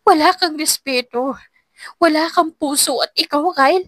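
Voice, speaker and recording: A woman voicing a furious, heartbroken young woman in an audio drama, shouting accusations in anguish. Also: high voice, unhurried, no echo, studio quality, pitched above the performer's own voice. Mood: furious, wounded.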